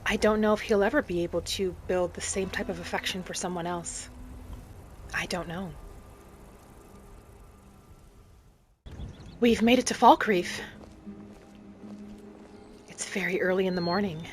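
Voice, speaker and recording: Soft, dreamy woman as a wood elf wanderer, music behind. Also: on the low side, natural voice. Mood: calm. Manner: soft, unhurried, dreamy, earnest. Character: gentle, hopeful young wood elf woman adventurer. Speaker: roleplay series host. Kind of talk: in-character scripted narration, storytelling.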